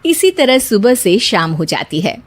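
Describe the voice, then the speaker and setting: Lively female cartoon story narrator. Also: medium pitch, unhurried, slightly rough.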